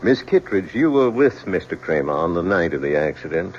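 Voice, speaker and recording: Stern male coroner, low and deliberate, official phrasing, muffled vintage broadcast sound. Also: sing-song. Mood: probing. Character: stern, formal male coroner presiding over an inquest. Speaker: actor in a radio drama. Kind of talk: old-time radio drama acting. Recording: muffled old radio recording, faint noise.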